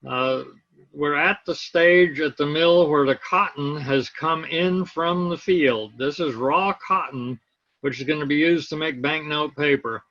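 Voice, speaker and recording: A man teaching an online class over a laptop video call, explaining step by step and pausing to look for things on his screen. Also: a little high, a bit bright, dull audio. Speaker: instructor.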